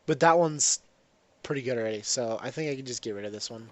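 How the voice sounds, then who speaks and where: A man's voice: mid-range voice, quick pace, no room sound. A man with a congested voice from a cold, casually commenting on his own gameplay with lots of ums and restarts. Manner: rambling, self-correcting, frequent ums.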